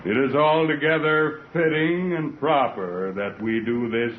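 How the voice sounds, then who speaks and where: An actor playing a solemn president delivering a measured, declamatory speech in an old-time radio drama. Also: male voice, mid-range voice, close to an everyday voice. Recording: tinny, noisy vintage radio recording.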